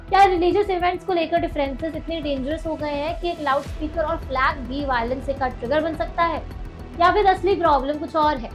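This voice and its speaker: A woman with an Indian accent and a distinctly high voice hosts a news explainer. Her scripted delivery is emphatic and dramatic, built on pointed phrasing. Faint music plays behind her. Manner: dramatic, emphatic, posing rhetorical questions. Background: music bed.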